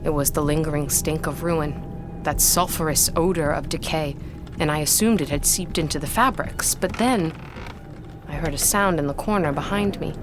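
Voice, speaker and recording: An actress playing an uneasy woman of a gothic household, speaking formally and with measured suspense in an audio drama, over music. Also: on the low side, close to an everyday voice. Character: haunted gothic household woman recounting a ghostly secret, uneasy. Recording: dramatic music bed behind voice.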